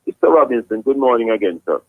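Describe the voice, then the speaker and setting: A man's voice: medium pitch, very animated, quick pace, no echo, phone-quality sound. A male radio morning show host, interviewing a guest politely and formally, calling him sir and restarting his sentences often. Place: radio studio.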